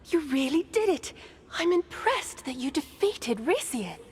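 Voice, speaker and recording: A woman's voice: high voice, very animated, unhurried, a little hiss or hum. A woman voicing a condescending, deceitful demon in a wizard's guise, theatrical video game acting. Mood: haughty, persuasive. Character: female wizard, secretly a deceitful demon, haughty and manipulative. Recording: music behind the voice. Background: game music.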